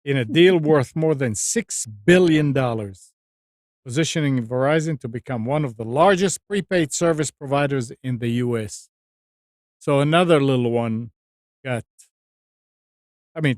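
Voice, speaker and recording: A man hosts a casual technology news discussion in a medium-pitched voice. He moves between chat and reading out items, often halting and repeating words. The sound is close and dry, with little room in it. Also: darkish tone, dry recording. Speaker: talk show host.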